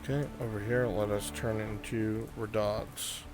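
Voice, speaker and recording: A man's voice: fairly low pitch, flat delivery, measured pace. A man improvising in character in a VR roleplay game, with a commanding, bantering way of talking. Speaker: roleplay player leading a group. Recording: rain and music behind the voice. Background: rain and music.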